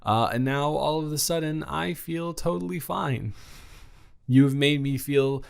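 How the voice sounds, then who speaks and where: A man's voice: on the high side, a little slow, gravelly, smooth. A young man playing a shy, sweet college freshman in a romantic audio roleplay, stammering and rambling with earnest self-deprecation. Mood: nervous, grateful. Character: shy, nervous, sweet young male college freshman from small-town Georgia. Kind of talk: romantic audio roleplay, one-sided conversational acting.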